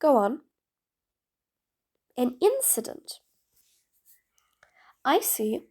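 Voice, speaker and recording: Woman acting high-pitched, theatrical scheming supervillain. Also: sing-song, drawn-out words, a little mellow, husky, heavily acted. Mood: wry, impatient. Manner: theatrical, mocking, formal wordy phrasing. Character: sly supervillain, theatrical, impatient, scheming, grudgingly sympathetic. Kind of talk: scripted audio roleplay monologue.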